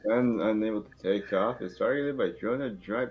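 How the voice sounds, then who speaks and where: Low-voiced man reading script narration, slightly muffled. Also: moderate pace. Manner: informal, stumbling over the script. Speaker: table read narrator and reader.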